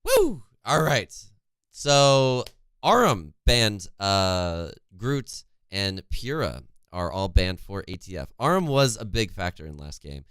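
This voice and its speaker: A young man with a worn-out, hoarse voice casting an esports match on a home stream, chatty and reading chat aloud, close to a studio mic. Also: on the low side, very animated, takes it slowly, a bit bright, no room sound. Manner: chatty, reads chat aloud, laughs often. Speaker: esports caster. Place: home streaming room, indoors. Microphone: studio condenser mic close in front, headphones.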